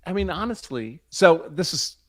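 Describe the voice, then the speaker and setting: A man's voice: a little high, lively intonation, moderate pace, no echo. A man chatting casually on a panel, thinking aloud in a conversational, hedging way.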